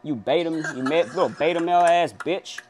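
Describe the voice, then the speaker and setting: A man's voice: on the high side, moderate pace, a little mellow, slight background noise. A young man trolling strangers on a random video chat, mock-tough and boastful. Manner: mock-tough, teasing, gym-bro boasting. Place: small pink-walled bedroom, indoors.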